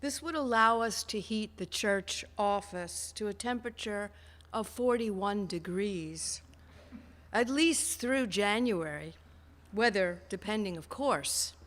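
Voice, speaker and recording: A woman with a mid-pitched voice gives a report. She goes slowly and deliberately, keeping a deadpan manner. Long reverb from a hall surrounds her. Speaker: treasurer giving donation report. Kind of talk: measured reporting, reading out notes.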